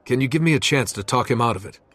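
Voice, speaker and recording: An actor playing a determined, loyal young streetwise hero in a video game, with a husky voice. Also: male voice, medium pitch, lively intonation, quick pace, fairly bright tone, dry recording. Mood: candid. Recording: music behind the voice.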